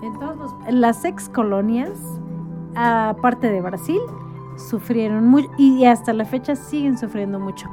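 A woman's voice: on the high side, a little slow, over music. A woman talking as a guest in a casual conversation, explaining things like an informal storyteller and often checking for agreement. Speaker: interview guest.

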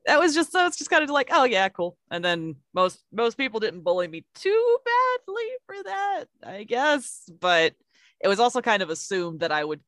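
A woman's voice: on the low side, a bit bright, dry recording, studio quality. A woman co-hosting, telling candid stories in a wry manner. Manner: wry, candid, quotes people. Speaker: podcast co-host. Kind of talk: casual chat.

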